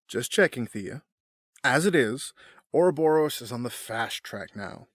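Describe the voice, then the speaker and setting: A man's voice: medium pitch, animated, takes it slowly, fairly bright tone, dry recording, clean studio sound. A man playing a smug, powerful middle-aged villain in an audio drama, suave, formal and condescending. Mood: smug, taunting.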